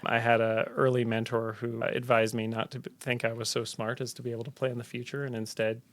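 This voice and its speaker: A man's voice: medium pitch, little pitch movement, a little fast. A middle-aged man from Tanzania, a health center director, answering interview questions in an earnest, reflective storytelling manner. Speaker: health center executive director, podcast guest.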